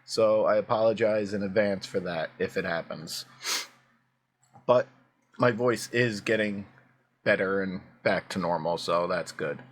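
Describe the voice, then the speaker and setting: A man hosting a casual video reaction, his voice hoarse and prone to cracking, talking in a chatty, rambling way with plenty of fillers. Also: low voice, unhurried. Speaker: YouTube reaction host.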